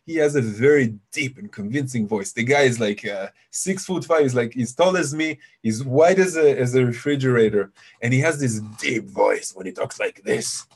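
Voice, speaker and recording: A man with a deep voice leads a live online session, talking loosely and explaining ideas to the listeners he calls "you guys". His pitch sits in an ordinary middle range. He is heard through a computer mic on a video call. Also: normal speed, creaky, slightly rough. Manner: chatty, explanatory, addresses audience as "you guys". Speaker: meditation teacher hosting a webinar. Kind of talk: casual live webinar teaching.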